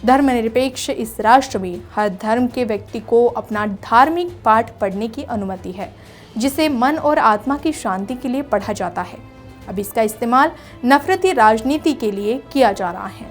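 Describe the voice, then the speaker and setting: A woman's voice: fairly high pitch, moderate pace. A woman presenting a scripted news report in an earnest, rhetorical manner. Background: background music.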